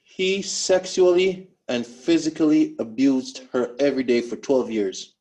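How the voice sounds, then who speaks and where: A man's voice: medium pitch, unhurried, a little mellow, natural voice, rough recording. A man playing a grave, dogged protector of an abused girl in a drama table read. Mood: grave, insistent. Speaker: actor in a web series table read. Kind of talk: scripted drama read aloud.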